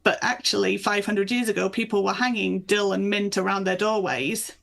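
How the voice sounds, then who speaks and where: A woman's voice: mid-range voice, normal speed, creaky, fairly bright tone. A woman speaking as a guest author in a conversational interview, explaining things with enthusiasm. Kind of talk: conversational interview chat about folklore.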